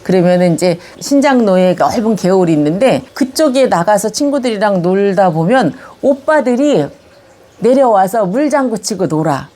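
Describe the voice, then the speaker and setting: Older Korean woman reminiscing with a lively pitch. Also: normal speed. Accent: Chungcheong-tinged Korean. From Korea, Yongin area of Gyeonggi. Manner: calm, reminiscing, gently animated when recalling childhood. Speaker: elderly interviewee recording a video autobiography. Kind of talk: reflective life-story interview.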